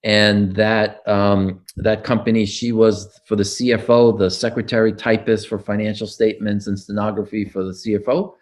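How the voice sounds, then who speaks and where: A man's voice: on the low side, flat delivery, normal speed, few pauses. An older man, recounting his childhood in an oral history interview, with frequent fillers and restarts. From United States.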